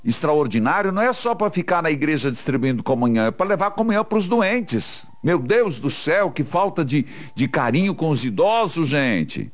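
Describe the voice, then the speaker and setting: A man's voice: big pitch swings, moderate pace, hardly any pauses. A man, a priest hosting a radio call-in show, counseling callers in an emphatic, exhorting way. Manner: emphatic, exhorting, pitch jumps up when urging.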